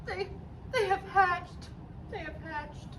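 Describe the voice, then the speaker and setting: A woman voicing a cheerful, matter-of-fact little pig in a children's picture book read-aloud, deliberate and playful. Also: very high voice. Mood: gleeful. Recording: distant mic, clearly noisy background.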